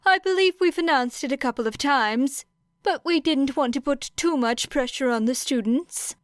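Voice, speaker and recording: Woman performing a shrewd animal principal, audiobook character, high pitch, courteous, formal, upbeat, close dry sound. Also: normal speed, no echo, natural voice. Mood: gleeful, triumphant. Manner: polite, crisp, upbeat formal phrasing. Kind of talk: acted audiobook dialogue.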